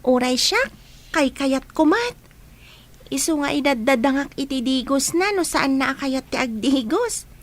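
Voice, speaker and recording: High-voiced eager little Filipino village girl played by a woman. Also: animated, a little slow. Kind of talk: radio comedy drama acting.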